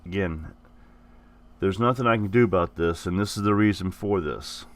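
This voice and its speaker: Man, very low voice, recovering from flu, casual, rambling, self-correcting. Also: moderate pace. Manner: rambling, repeats and corrects himself. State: hoarse, getting over the flu. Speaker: gaming channel host. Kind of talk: casual giveaway announcement.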